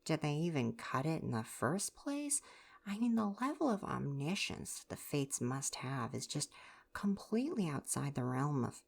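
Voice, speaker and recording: Woman doing a quirky greek god doctor for asmr roleplay, really low voice, chatty and rambly, kinda formal but drifts into casual asides. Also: big pitch swings, a little slow, vocal fry, full character voice. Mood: musing, rambling. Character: eccentric, chatty, absent-minded ancient Greek healer god.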